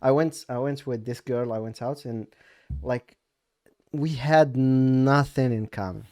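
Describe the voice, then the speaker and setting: A young man from eastern Morocco hosting a casual stream chat, relaxed and mixing English with Moroccan Arabic. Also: mid-range voice, unhurried, no echo, studio quality. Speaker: streamer and host.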